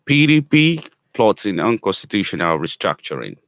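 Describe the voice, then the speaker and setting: A man reading a political news item on radio in a formal, measured way. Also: fairly low pitch, very animated, a bit bright, dry recording. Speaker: newsreader. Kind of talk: radio newsreading.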